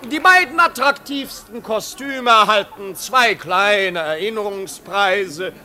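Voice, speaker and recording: High-voiced, suave master of ceremonies. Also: male voice, takes it slowly, pushed and bright, full character voice. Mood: smug, amused. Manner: ornate, ceremonious, theatrical. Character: suave, patronising male master of ceremonies at a costume party. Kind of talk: 1950s German radio play acting. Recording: noisy 1950s radio recording, party crowd. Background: crowd of party guests.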